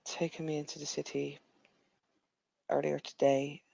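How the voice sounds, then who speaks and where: A Dutch-accented man voicing an earnest female werewolf raised in a quiet pack, speaking in a measured, formal way and pausing to find his words. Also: on the high side, monotone, creaky, dry recording, highs cut off, full character voice. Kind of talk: in-character roleplay.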